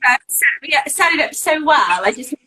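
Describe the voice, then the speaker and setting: A woman's voice: high-pitched, animated, normal speed, bright, forceful tone. A woman from Wales, a guest on a live video-call interview, talking casually with many fillers and restarts. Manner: chatty, lots of fillers and restarts.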